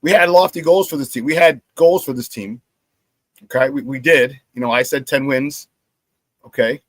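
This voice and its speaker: Hoarse middle-aged man, dry close sound. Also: medium pitch, moderate pace, no room sound. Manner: animated storyteller who repeats phrases and laughs at his own stories. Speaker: sports talk show host. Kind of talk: casual sports talk monologue.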